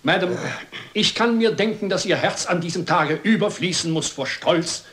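An actor playing a courteous, dithering bishop who chairs meetings in a German crime radio play, formal and hesitant. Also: male voice, high-pitched, moderate pace, a bit bright. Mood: solemn.

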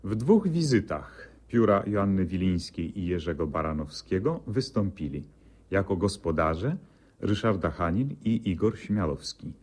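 Low-voiced male radio announcer. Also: animated, unhurried, a little mellow. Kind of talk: formal 1950s radio credits announcement.

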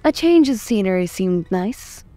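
A guarded middle-aged aunt acted by a woman speaks slowly at a middle pitch. She is grave and weary, in a dry comic dub recording. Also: expressive, warm tone, no room sound, soft background music, close to an everyday voice. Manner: measured, grave.

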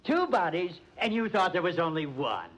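An actor playing a smug, cold-blooded murderer, sardonic and mock-polite, in a 1940s radio crime drama. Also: male voice, sits high, sing-song, normal speed, a bit bright, slightly rough, exaggerated voice. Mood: gloating, smug. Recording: dull old radio recording.

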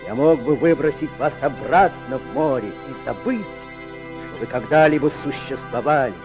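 An actor in a radio play voicing a proud, commanding, reclusive submarine captain, cold and measured. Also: male voice, mid-range voice, music in the background, lightly acted. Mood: cold menace.

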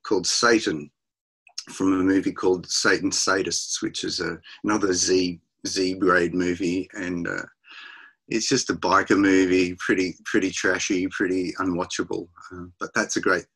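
A middle-aged Australian man, a musician, chatting over a video call from his home music room with halting, restarting speech. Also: low-pitched, level tone, vocal fry, fairly bright tone, husky, rough recording. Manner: halting, many restarts and fillers, laughs often. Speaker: musician guest.

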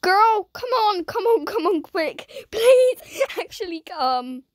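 A woman giving playful, dramatic commentary over a video game, her voice croaky and strained. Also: very high voice, sing-song, slow, no echo, clean studio sound. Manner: dramatic, silly, swings between shouting and whispering. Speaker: gaming YouTuber.

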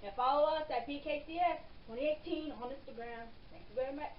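A woman's voice: high voice, fast. A young woman co-hosting a family cooking show, throwing in playful, sassy quips. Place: decorated home room, indoors. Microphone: far camera. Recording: roomy, distant, muffled, faint noise.

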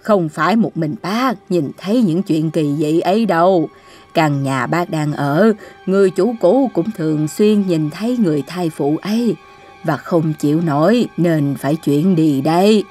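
A woman's voice: low-pitched, a little slow, warm tone, soft background music. An actress playing a matter-of-fact, grumbling older woman in a cartoon. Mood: grave. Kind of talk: animated cartoon voice acting.

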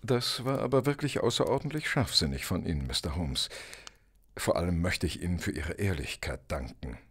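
An actor playing a polite, rambling, slightly sheepish middle-aged gentleman in a radio play, with a husky, gravelly voice and a hesitant manner. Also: low-pitched, expressive, moderate pace, heavily acted. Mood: courteous, grateful. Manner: courteous, hesitant, long-winded. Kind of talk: German radio play acting.

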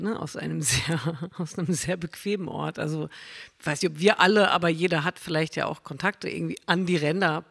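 Moderator, woman, husky gravelly voice, casual and lively, hall reverb. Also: sits low. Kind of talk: panel discussion. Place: stage of a literary venue hall. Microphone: handheld microphone.

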